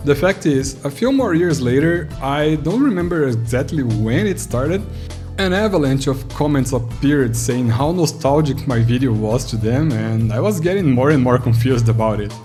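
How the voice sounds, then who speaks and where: A man's voice: medium pitch, animated, moderate pace, a little mellow, faint music behind. A Brazilian man in his thirties whose first language is Portuguese, narrating an earnest retrospective video in English. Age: 30s. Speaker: YouTuber.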